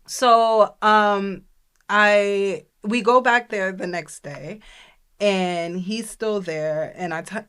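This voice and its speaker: A woman's voice: medium pitch, steady tone, takes it slowly, fairly bright tone, smooth. A young woman co-hosting a podcast, telling a story in casual chat and acting out the people she quotes. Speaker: podcast co-host.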